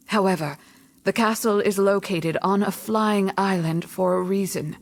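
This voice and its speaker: Husky, solemn female mystic mentor. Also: medium pitch. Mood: solemn, instructive. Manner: solemn, measured, cryptic. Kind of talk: video game cutscene acting.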